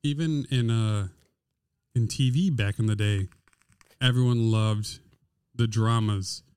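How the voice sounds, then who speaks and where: A man with a naturally deep, smooth voice chats casually on a podcast, drifting through stories with plenty of fillers. The studio mic sounds close and dry, with no room around it. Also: slow, darkish tone, no room sound. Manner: easygoing, rambling with fillers. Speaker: podcast co-host. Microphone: podcast studio microphone.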